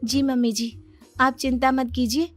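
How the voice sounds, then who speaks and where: A woman's voice: high-pitched, little pitch movement, a little slow, dry recording, faint music behind. A woman playing a young new daughter-in-law in a cartoon story. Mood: meek, polite.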